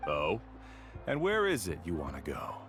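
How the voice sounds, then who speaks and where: A haughty, self-assured adventure guide from a video game. The low voice moves with lively, scornful swings, and faint music plays behind it. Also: male voice, normal speed, exaggerated voice. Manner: condescending, boastful. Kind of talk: video game character acting.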